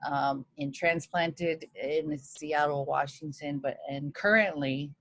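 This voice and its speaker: A woman from Hawaii with a low voice talks as a guest in a relaxed interview. She is earnest and reflective. Her video call audio sounds dry, with no room echo. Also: takes it slowly. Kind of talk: casual interview chat.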